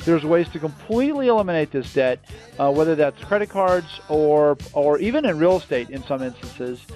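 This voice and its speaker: A man giving an emphatic, salesy pitch as a company founder on a promotional call, repeating phrases for effect. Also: lively intonation, moderate pace, a little mellow, soft background music. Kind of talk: promotional interview on a conference call.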